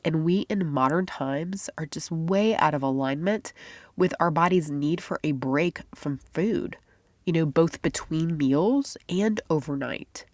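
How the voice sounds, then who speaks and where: A woman's voice: deep voice, measured pace, a little mellow, dry recording. A woman hosting a faith-based health podcast, talking in a chatty, confiding way with a stuffy, congested nose. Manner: chatty, confiding, laughs often. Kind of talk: casual podcast monologue.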